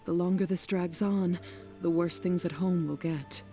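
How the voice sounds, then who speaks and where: A troubled townsman speaks politely and earnestly. His voice sits high for a man yet has a very muted, dark tone. It is game dialogue with faint music underneath. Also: steady tone, unhurried. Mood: worried. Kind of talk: video game voice acting.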